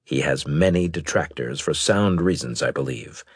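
A man voicing a large, gruff admiral in an audiobook, husky, deliberate and authoritative, with a skeptical, probing manner. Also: a bass voice, lively intonation, dry recording, clean studio sound, pitched below the performer's own voice, full character voice. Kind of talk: audiobook character dialogue.

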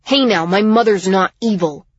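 A woman voicing a wounded young man of noble birth in an audiobook, halting and defensive in manner. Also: on the low side, husky, dry recording, highs cut off.

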